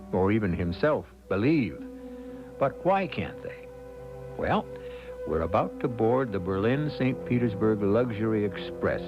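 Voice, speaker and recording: A man hosting a 1970s radio suspense drama, narrating from a script in a theatrical, deliberate manner. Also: medium pitch. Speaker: host and narrator. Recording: dull vintage radio, prominent music.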